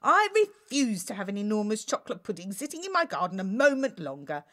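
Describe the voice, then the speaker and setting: A woman's voice: medium pitch, very animated, fairly quick, fairly bright tone. A woman voicing a blustering, short-tempered king in a children's storybook, with an imperious, exclamatory delivery. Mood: imperious fury.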